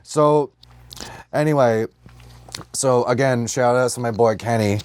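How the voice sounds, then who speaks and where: A man's voice: medium pitch, a little slow, darkish tone, clear, resonant tone, dry recording. A man co-hosting a casual comedy podcast, talking in an irreverent, rambling way full of swearing. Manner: irreverent, profanity-laden, rambling. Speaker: podcast co-host.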